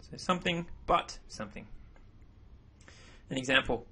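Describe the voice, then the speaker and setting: A man whose first language is English, explaining grammar over slides in a relaxed voice-over, often repeating himself as he goes. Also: mid-range voice, moderate pace, slightly rough. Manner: relaxed, self-correcting explanations. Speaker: language teacher. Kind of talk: narrated slideshow lesson.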